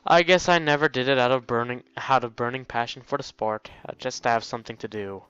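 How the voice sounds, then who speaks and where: A man's voice: medium pitch, a little fast, a bit bright, clear, resonant tone, no room sound, dull audio. A man hosting a let's play, reading a visual novel aloud and breaking off into jokey exclamations.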